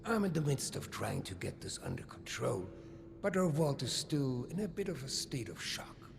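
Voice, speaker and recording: A man's voice: medium pitch, big pitch swings, normal speed, vocal fry, background noise. An actor playing a suave, pompous older German vault overseer and businessman in a video game, courtly and flamboyant in manner. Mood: smooth persuasion. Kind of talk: scripted video game dialogue.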